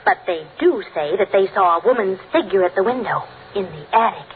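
An American actress playing a gentle, chatty middle-aged wife and mother in 1940s radio comedy style. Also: mid-range voice, animated, brisk, natural voice. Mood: gossipy, hushed concern. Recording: hissy, narrow-band old radio recording.

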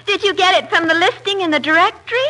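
A woman's voice: extremely high pitch, quick pace, pushed and bright. A woman playing coy, flirty comedy scenes as a guest actress on a 1940s radio show.

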